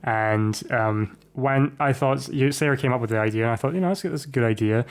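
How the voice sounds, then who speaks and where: Male podcast co-host, medium pitch, informal film talk with the odd joke. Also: fairly quick. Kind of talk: casual film discussion.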